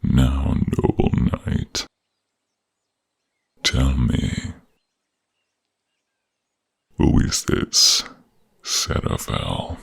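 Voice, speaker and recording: A man playing a menacing, ambitious villain in an audio drama, taunting a captive slowly and theatrically. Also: lively intonation, heavily acted. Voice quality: gravelly, very low. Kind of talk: dramatic audio role-play acting.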